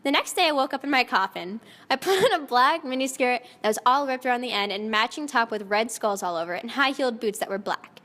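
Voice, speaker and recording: A woman's voice: a little high, expressive, fast, hardly any pauses, a bit bright. A woman narrating fan fiction in a mock-dramatic style, often close to giggling at the text. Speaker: narrator and reader. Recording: long echoing reverb.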